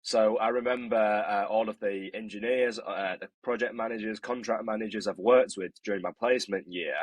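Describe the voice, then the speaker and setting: A man's voice: on the low side, steady tone, moderate pace, husky, dry recording. A man, a construction student speaking earnestly and hesitantly in a podcast interview. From northeast China. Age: 20s. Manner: earnest, hesitant, many restarts.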